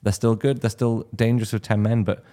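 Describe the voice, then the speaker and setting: Low-voiced man, stumbling emphatic football talk. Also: little pitch movement, brisk, mellow. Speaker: podcast panelist. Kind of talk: football podcast discussion.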